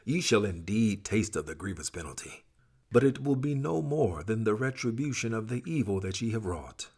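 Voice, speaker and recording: Low, husky male scripture reader. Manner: solemn, emphatic, dramatic phrasing. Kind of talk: expressive scripted scripture recitation.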